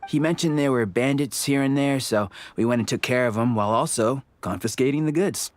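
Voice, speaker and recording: An actor voicing a good-natured, teasing war veteran in a video game. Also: male voice, mid-range voice, brisk, no echo, lightly acted. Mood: casual, explaining.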